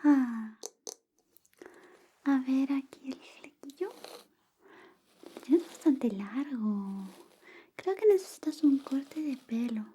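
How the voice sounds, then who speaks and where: Young female best friend, played by a woman, secretly smitten: very soft, dark whisper, unhurried, intimate, playfully teasing ASMR roleplay. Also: high-pitched, animated, a little hiss or hum. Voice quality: soft, breathy, mostly whispered. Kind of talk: ASMR personal-attention roleplay.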